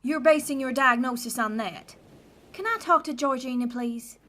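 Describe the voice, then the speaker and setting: A woman's voice: sits high, moderate pace, very noisy, lightly acted. An actress playing a polite, puzzled, girlish female presenter in a scripted audio comedy. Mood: concerned.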